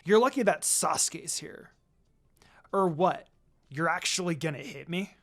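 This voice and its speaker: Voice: mid-range voice, expressive, measured pace. A narrator voicing a sly, unbothered young female ninja with a dry, mocking, understated way of talking.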